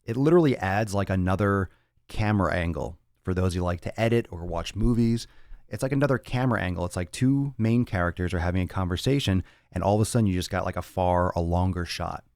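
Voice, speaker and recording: Deep-voiced blunt fitness podcast host. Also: male voice, fast, a little mellow, no room sound, studio quality. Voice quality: raspy on some days. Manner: blunt and profane, rambling with restarts. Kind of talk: casual solo talk answering listener chat.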